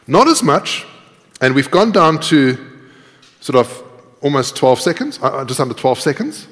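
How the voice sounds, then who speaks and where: A man's voice: fairly high pitch, very animated, moderate pace, fairly bright tone. A middle-aged man giving an informal live coding talk, thinking aloud as he types and poking fun at himself. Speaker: conference speaker, programmer. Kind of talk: live coding demo talk, explaining while typing. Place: large tiered lecture hall, indoors. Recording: reverberant lecture hall.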